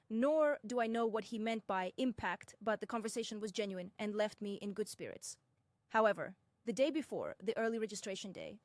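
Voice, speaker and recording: A young woman from Russia, narrating her own experience in a measured, deliberate way with frank asides. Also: mid-range voice, no room sound. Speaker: personal commentator. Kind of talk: first-person reflective narration.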